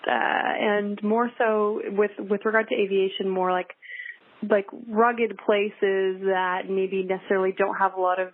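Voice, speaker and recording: A woman's voice: mid-range voice, little pitch movement, unhurried. A young adult woman talking casually as a podcast guest, chatty with many fillers and restarts. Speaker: guest pilot. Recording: dry, tinny phone-like line.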